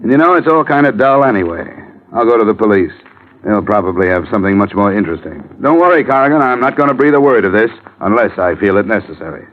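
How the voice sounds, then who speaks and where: An American man playing a wry, world-weary insurance investigator in a 1950s radio detective drama, with a sardonic, clipped, deadpan delivery. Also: lowish voice, fast, background noise, lightly acted. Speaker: lead actor and narrator. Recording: muffled vintage radio recording with music.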